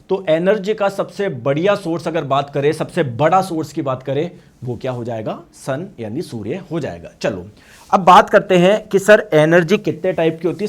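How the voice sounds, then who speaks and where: A male teacher with a high voice and a hoarse throat, giving a lively science lecture. He poses questions and answers them himself, and he gives every key term twice, once in Hindi and once in English. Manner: asks and answers his own questions; addresses students as friends. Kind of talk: online exam-prep class.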